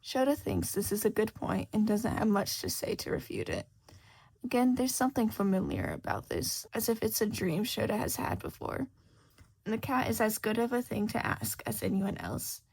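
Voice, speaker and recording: A woman's voice: medium pitch, huge pitch swings, moderate pace, gravelly, darkish tone, breathy edge. A woman reading a scripted fiction story aloud as its narrator. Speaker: podfic narrator.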